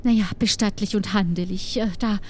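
A woman voicing a troubled, secretive witch who trades in dark goods, speaking in an earnest, halting, pleading way in an improvised audio adventure. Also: mid-range voice, steady tone, slight background noise, voice pushed down, natural voice. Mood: secretive, worried.

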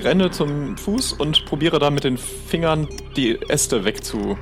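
Animated man playing an improvised adventure. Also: on the high side, takes it slowly, over music. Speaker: player in an improvised adventure game. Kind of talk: casual improvised role-play.

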